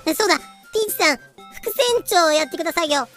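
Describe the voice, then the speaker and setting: Eager young pirate captain, very high bright voice, excitable, self-deprecating, anime-style audio drama. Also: female voice, quick pace, faint music behind. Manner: polite and self-deprecating, bursts into excited cries.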